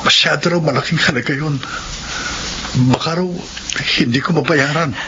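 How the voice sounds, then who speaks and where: A man's voice: fairly high pitch, moderate pace, natural voice. An actor playing an earnest, caring, worried husband and father in a Filipino radio drama, speaking plainly and seriously. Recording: muffled, noisy radio drama recording.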